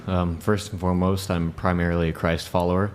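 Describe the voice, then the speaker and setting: A man in his late twenties introducing himself earnestly, with measured pauses. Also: low voice, level tone, a little hiss or hum. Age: 20s. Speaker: panel guest.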